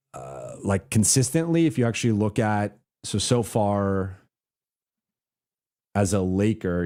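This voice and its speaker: A man with a low, mellow voice, stuffy and hoarse as he loses it. He talks in a loose, halting way, restarting his sentences as he reacts to a game live and answers viewer messages. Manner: halting, with frequent restarts and "like" fillers. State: congested and losing his voice. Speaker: basketball reporter hosting a livestream. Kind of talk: casual live reaction, reading super chats.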